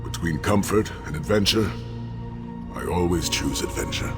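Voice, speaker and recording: A man playing a noble, stoic and philosophical warrior in a video game, speaking in a measured, formal, earnest way. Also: low voice, natural voice. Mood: earnest, reflective. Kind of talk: video game character acting. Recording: long hall reverb, clear music behind.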